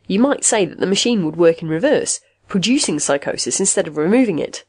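A woman voicing an authoritative male technical expert in an audiobook, explaining things in a measured, matter-of-fact way. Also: lowish voice, expressive, a little mellow, breathy edge, no echo. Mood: dryly explaining.

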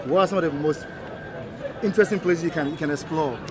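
A man from Ghana hosts a street interview, firing off eager questions at a quick clip. His voice sits a little higher than most men's. Loud crowd noise from the busy square surrounds him. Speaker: street interviewer, channel host. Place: busy city square outdoors. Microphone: handheld mic.

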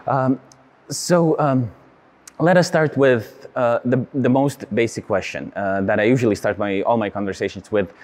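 Young Lithuanian host, hesitant fillers. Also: male voice, medium pitch, steady tone, normal speed, a little mellow. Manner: friendly, hesitant with many fillers. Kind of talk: interview host intro and questions. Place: large church interior.

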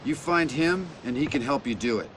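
A man's voice: mid-range voice, animated, normal speed, background noise, natural voice. A man playing a laid-back, nostalgic veteran skateboarder in a video game, casual and slangy. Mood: reassuring. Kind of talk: video game cutscene acting.

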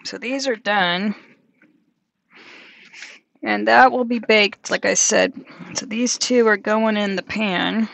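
A woman's voice: medium pitch, fairly even intonation, slow pace, vocal fry, pauses often, a bit bright. A woman talking casually through a cooking demonstration in a small van kitchen, narrating each step as she works. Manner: chatty, talks herself through each step. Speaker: van life vlogger.

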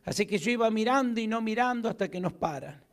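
A middle-aged man with an Argentine accent, preaching a sermon from a church pulpit into a handheld mic, swinging from loud calls for amens to quiet asides. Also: high voice, lively intonation, fast. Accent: Argentine Spanish. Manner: emphatic preaching, rising loud to call for amens and dropping to a hush. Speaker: pastor. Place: church hall with a stage. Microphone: handheld mic at a pulpit, far camera.

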